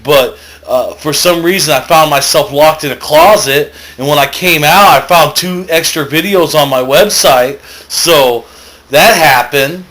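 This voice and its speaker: A man's voice: a little high, fairly bright tone. A man hosting a comedic web video in casual talk.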